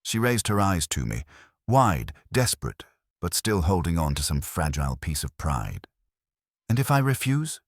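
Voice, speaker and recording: A man's voice: extremely low pitch, very animated, moderate pace, no room sound, clean studio sound. A man reading first-person detective fiction as an audiobook narrator, in a scripted storytelling style.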